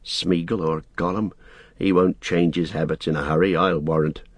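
Guy doing a blunt homely hobbit servant for a storybook, low and husky, grumbly, suspicious. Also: fairly even intonation, normal speed, no room sound. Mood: wary grumbling. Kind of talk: audiobook character acting.

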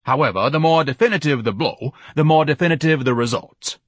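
A man's voice: a little high, brisk, dry recording, studio quality, voice pushed up, lightly acted. A man voicing a large, pompous, paranoid man who declaims in ornate, grandiose phrases in an audiobook. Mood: grand.

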